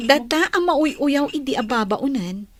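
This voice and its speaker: An actress playing a lively young village student who married into money, with drawn-out, excitable delivery in an Ilocano radio drama. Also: female voice, high voice, crowd noise, full character voice. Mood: gleeful, teasing.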